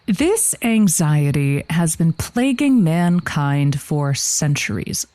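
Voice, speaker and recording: A woman playing a supportive, flowery advice radio host in an improvised comedy show, with a theatrical, deliberate delivery. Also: low-pitched, very animated, mellow, dry recording, full character voice. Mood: musing, advising.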